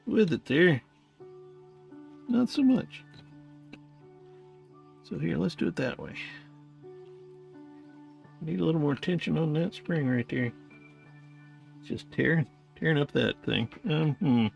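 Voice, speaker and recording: A man's voice: fairly high pitch, lively intonation, takes it slowly, vocal fry, long pauses, darkish tone, soft background music. A man chatting casually and thinking aloud as he tinkers, muttering to himself and to the thing he is repairing. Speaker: hobbyist repairer of vintage tape recorders.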